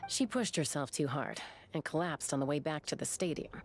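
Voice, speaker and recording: A woman's voice: low-pitched, lively intonation, brisk, soft background music. A woman voicing a composed, dutiful young warrior in a video game, dry and matter-of-fact.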